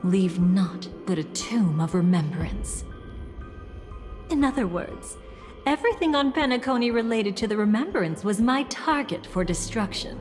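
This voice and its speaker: A woman's voice: mid-range voice, lively intonation, unhurried, darkish tone, close to an everyday voice. An actress voicing a scheming, theatrical young woman in a video game, playful and mocking, with music underneath. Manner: playful, mocking, dramatic swings in tone.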